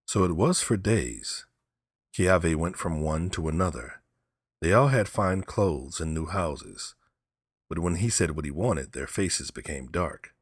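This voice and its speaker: A man narrating a story as an audiobook reader, in measured, simple phrasing. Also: low voice, animated, husky, no room sound, clean studio sound.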